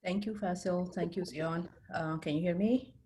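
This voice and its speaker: A middle-aged woman speaks as an interview guest, telling of her own experience. Her voice is low for a woman, and she has an Ethiopian accent. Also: steady tone, normal speed, mellow, slight background noise. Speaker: interview guest, IT specialist and career mentor. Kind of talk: personal account in an interview.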